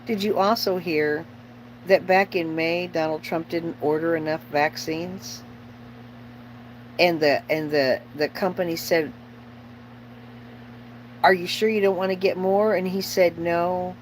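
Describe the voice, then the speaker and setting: A woman's voice: fairly low pitch, fairly even intonation, measured pace, long pauses, a little hiss or hum, rough recording. A middle-aged woman chatting casually on a livestream as host, rambling and opinionated, bluntly repeating herself for emphasis.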